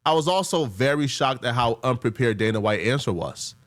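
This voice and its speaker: A man's voice: mid-range voice, lively intonation, brisk, a bit bright. A man giving casual opinion commentary in an emphatic, slangy way as a video commentator.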